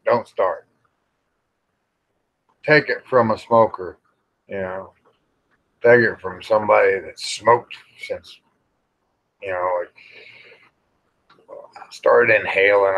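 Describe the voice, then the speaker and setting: A middle-aged or older American man with a fairly low voice chats casually to his livestream viewers. He talks very slowly in a folksy, plain-spoken way, rambling along with mild cusses. The audio is a bit dull and lo-fi. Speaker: livestream host.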